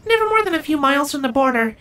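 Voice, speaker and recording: A man's voice: very high-pitched, big pitch swings, very fast, pushed and bright, voice pushed up, exaggerated voice. A man voicing a curious young female changeling on patrol, inquisitive, in a fantasy story reading.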